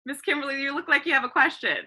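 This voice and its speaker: Woman host, bright and slightly high, encouraging teacher tone on a video call. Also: fast talker. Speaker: host of a virtual class meeting. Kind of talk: hosting a video call between classrooms.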